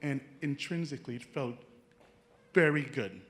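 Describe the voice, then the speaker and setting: Young jamaican man, nursing student, earnest storytelling, halting, restarts, chuckling at his stories. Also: mid-range voice, lively intonation, a bit bright. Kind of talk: personal story talk to an audience.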